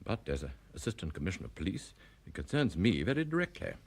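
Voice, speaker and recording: A formal senior commissioner, lowish voice, very lively intonation, guarded. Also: male voice, gravelly, slightly rough, heavily acted. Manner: measured, formal, evasive. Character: measured, guarded senior police commissioner, older man. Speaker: assistant commissioner. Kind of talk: 1960s radio drama acting.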